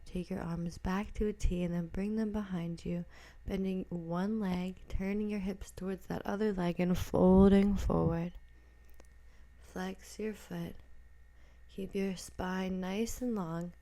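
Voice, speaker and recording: A woman instructor guiding a yoga class with a soft, breathy, gentle delivery. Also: fairly low pitch.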